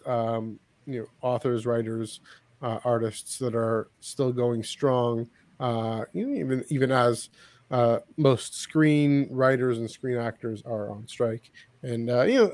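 A man hosting a podcast in casual chat, halting and often repeating his words and phrases. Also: mid-range voice, no room sound. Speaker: podcast host.

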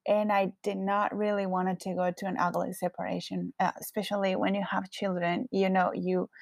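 Woman, Colombian Spanish accent, podcast host, reflective monologue, middle pitch, dry close recording. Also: darkish tone, dry recording. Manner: reflective, candid, with frequent fillers.

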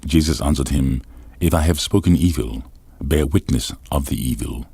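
A man narrating scripture in a grave, deliberate, formal reading style. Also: very deep voice, gravelly, warm tone, slightly rough, no echo. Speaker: Bible reader.

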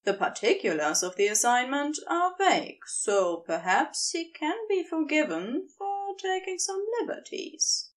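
A woman reading a scripted story aloud as narrator, with expressive, measured storytelling. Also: sits high, recorded from a distance. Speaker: podfic reader.

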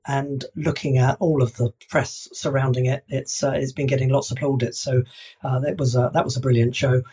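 A man's voice: mid-range voice, little pitch movement, normal speed, warm tone, breathy edge. Hesitant English man co-hosting a podcast. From England. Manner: hesitant, many restarts and fillers. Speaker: podcast co-host. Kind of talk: casual chat.

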